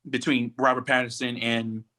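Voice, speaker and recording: A man's voice: mid-range voice, level tone, moderate pace, bright, forceful tone. A man chatting casually as a podcast co-host, giving his commentary. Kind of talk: casual chat, pop culture commentary.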